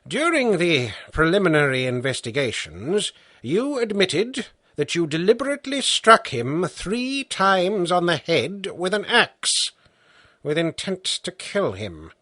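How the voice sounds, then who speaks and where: A man reading the part of a stern, patient judge who questions the accused formally and slowly. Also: high voice, very animated, bright, forceful tone, dry recording, pitched above the performer's own voice, exaggerated voice. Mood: stern questioning.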